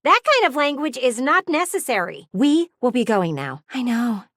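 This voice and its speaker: Game role: meddling, easily offended talking-window lady. Highish, very animated, gushing, theatrical. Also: normal speed, dry recording, clean studio sound, heavily acted. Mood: offended, indignant. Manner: theatrical, gushing, indignant, condescending. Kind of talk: animated video game character acting.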